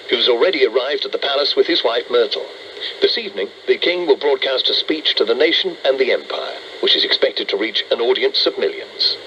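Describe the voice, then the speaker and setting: A man's voice: medium pitch, pushed and bright, dull audio. A British man reading a scripted radio news bulletin in a 1940s style. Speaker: radio newsreader. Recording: noisy band-limited medium wave radio.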